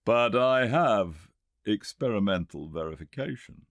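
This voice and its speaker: A man voicing a confident, reasoning gentleman inventor in a storybook reading, with a measured, lecturing manner. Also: fairly low pitch, lively intonation, dry recording, pitched above the performer's own voice. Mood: calm, assured. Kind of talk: audiobook character reading.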